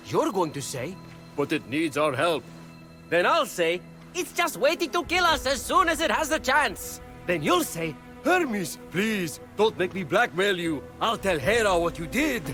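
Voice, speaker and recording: An actor playing a cocky, scheming trickster messenger god in a video game, glib and melodramatic. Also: male voice, high-pitched, sharp tone, full character voice. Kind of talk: animated video game cutscene acting.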